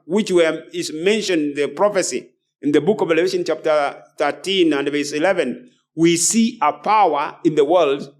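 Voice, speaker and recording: Guy preaching a sermon, pretty high voice, really emphatic, says phrases twice. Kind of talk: sermon with scripture and book readings.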